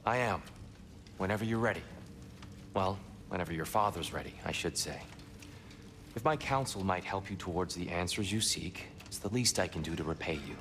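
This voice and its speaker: An actor playing a scheming old god disguised as a wise, humble warrior, who speaks in a measured, courtly way. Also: male voice, sits low, big-room sound.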